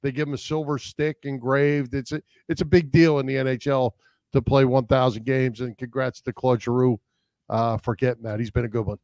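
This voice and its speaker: Male sports podcast co-host, average pitch, informal and slightly halting delivery, dry close recording. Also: steady tone, darkish tone, dry recording. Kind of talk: casual sports chat.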